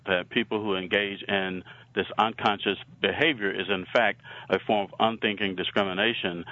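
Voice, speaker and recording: Southern man presenting slides, bright tone. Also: low-pitched, dull audio. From Alabama. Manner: measured, frequent uh fillers and restarts.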